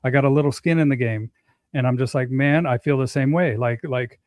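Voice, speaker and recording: A middle-aged man hosting a casual talk, speaking earnestly with frequent pauses and restarts. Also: mid-range voice, a little mellow, no echo, studio quality. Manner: earnest, halting, many restarts. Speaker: host. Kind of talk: casual conversation.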